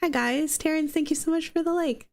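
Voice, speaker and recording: A woman's voice: high voice, a little fast, vocal fry, a little hiss or hum. A woman streaming a video game while sick and losing her voice, who chats with viewers and comments on her own plays. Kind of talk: casual live-stream chat while gaming. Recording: loud game music behind voice.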